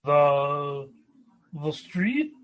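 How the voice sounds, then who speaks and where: A man's voice: fairly high pitch, sing-song, drawn-out words, fairly bright tone, clear, resonant tone, dull audio, heavily acted. A man playing a polite, mild-mannered rich young banker, courteous and hesitant, in an old-time radio comedy. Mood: mild, puzzled.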